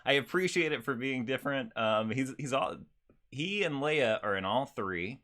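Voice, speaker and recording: A man's voice: medium pitch, expressive, moderate pace, crowd noise. A man hosting a casual podcast, talking in wry, rambling asides.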